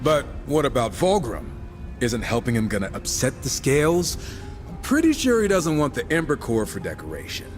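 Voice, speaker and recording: A man's voice: mid-range voice, sing-song, normal speed, soft background music, close to an everyday voice. An actor playing a cocky, irreverent young horseman in a video game, casual, teasing and sarcastic. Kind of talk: video game cutscene acting.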